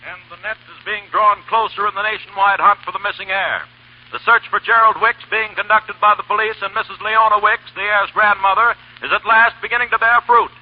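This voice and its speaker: An actor playing an insistent, declamatory 1930s radio newscaster through a radio filter. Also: male voice, sits high, fairly even intonation, brisk. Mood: urgent. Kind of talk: 1930s news bulletin reading. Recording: tinny, narrow 1930s radio sound.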